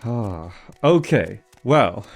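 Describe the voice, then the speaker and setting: A man's voice: on the low side, very animated, extremely slow, gravelly. A man hosting a gaming video, giving casual commentary and thinking aloud as he goes. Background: faint game music.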